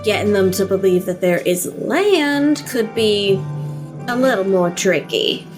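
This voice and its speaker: A woman's voice: mid-range voice, expressive, measured pace, over music, close to an everyday voice. A woman playing a calculating government official in an audio drama, composed and knowing. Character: Missus Red, coolly calculating female government official.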